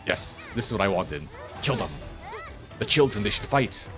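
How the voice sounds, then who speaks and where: A man's voice: on the low side, animated, moderate pace. A man doing casual live game commentary, rambling and muttering to himself as he plays. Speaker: game streamer. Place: home office. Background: game music.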